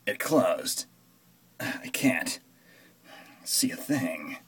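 Man playing panicky young mech pilot, comic-book read-aloud, very slow halting delivery, husky muttering. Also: low-pitched, sing-song, whispered, heavily acted. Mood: tense muttering. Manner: melodramatic muttering and exclaiming. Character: excitable panicky young man fumbling inside a war robot. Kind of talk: hammy comic-book read-aloud with mouth-made sound effects.

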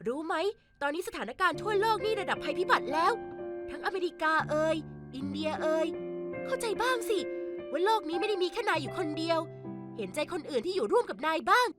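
A woman voicing an earnest, bossy schoolgirl class leader in an animated cartoon. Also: very high-pitched, a little fast, over loud music. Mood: exasperated, scolding. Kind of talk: animated cartoon dialogue and narration.

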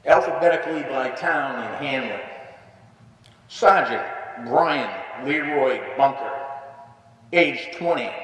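An older American man, a veteran, gives a solemn memorial address in deliberate, halting phrases at a podium. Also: medium pitch, fairly bright tone. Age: older, 60s or 70s. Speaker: veteran speaking at a memorial service. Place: large indoor hall, at a podium. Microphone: podium microphone on a stand. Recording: very echoey hall, distant pickup.

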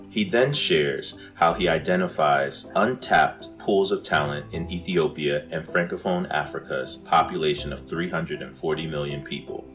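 A man hosting a podcast, reading a scripted introduction in a measured way over guitar music. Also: extremely low pitch. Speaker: podcast host.

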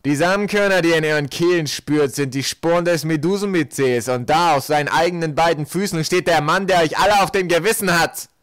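Man acting villainous count, sneering, theatrical, gloating, raised loud voice, audiobook character, dry sound. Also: fairly high pitch, no room sound, pitched above the performer's own voice, lightly acted. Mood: gloating, scornful. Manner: mocking, drawn-out, declamatory delivery.

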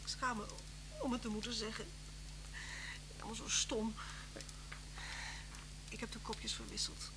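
A well-meaning, anxious young woman played by an actress in an old radio play. Her high voice is flustered and insistent, with faint noise behind it. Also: little pitch movement, measured pace, pauses often, natural voice. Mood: ashamed, distraught. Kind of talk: radio drama acting. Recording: faintly noisy old radio recording with hum.

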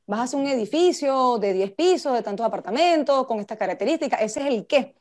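High-pitched Venezuelan woman giving a lesson. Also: lively intonation, a little fast, a little mellow. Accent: Venezuelan Spanish. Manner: conversational, rhetorical questions to listeners. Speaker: project management instructor and speaker. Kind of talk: informal slide lecture.

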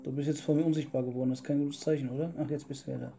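A woman with a low voice chats casually through a gameplay session. Guitar music plays clearly behind the speech. The talk is informal, like a host playing alongside a partner. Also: fairly even intonation, racing. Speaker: gaming video host. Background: plucked guitar music.

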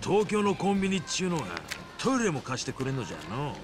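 An actor playing a brash middle-aged yakuza underboss in a video game, with a Hiroshima accent and rough, blunt dialect. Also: male voice, fairly high pitch, big pitch swings, fast, music in the background. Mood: casual, concerned. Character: brash, gruff male yakuza underboss, loyal to his elder. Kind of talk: acted video game cutscene dialogue.

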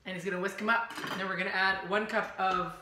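A woman in her thirties hosting a casual home cooking demonstration, giving relaxed step-by-step instructions with a teasing edge. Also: medium pitch, quick pace, background noise. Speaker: home cooking show host. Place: home kitchen, indoors. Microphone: far camera. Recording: echoey kitchen room.

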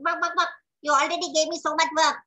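A woman's voice: extremely high pitch, flat delivery, fast, bright, forceful tone. A woman voicing a plucky little red hen for a children's story, protesting and questioning with clucks.

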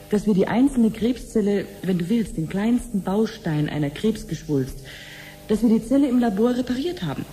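An actress playing a friendly, patient cancer researcher in a radio drama, explaining things clearly like a teacher. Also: female voice, mid-range voice, warm tone, a little hiss or hum, natural voice. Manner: didactic, clearly phrased explaining. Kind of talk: 1970s German radio drama acting.

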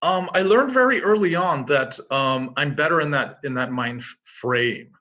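A man's voice: fairly high pitch, normal speed, sharp tone, highs cut off. A Canadian-born man in his forties, a martial arts teacher, talking casually and self-assuredly as an interview guest. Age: 40s.

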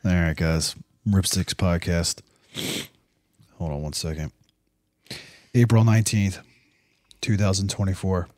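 A very low-pitched man, hoarse and losing his voice, hosting a relaxed chat podcast with his children. The sound is close and dry. He talks in an easygoing dad manner. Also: a little slow, pauses often, dry recording. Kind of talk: casual family chat.

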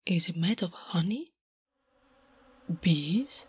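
Woman voicing a slow, whispering orc warrior woman. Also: lowish voice, animated, no echo, full character voice. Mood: shy, curious. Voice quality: breathy, soft whisper. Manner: halting, literal, third-person stilted speech. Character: big orc warrior woman, gentle, naive, protective. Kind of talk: whispered ASMR roleplay.

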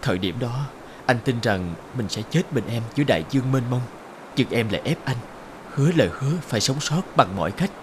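A man playing a tender, heartbroken husband and father in a dramatic cartoon voice-over, measured and sorrowful. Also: medium pitch, expressive, background noise, natural voice.

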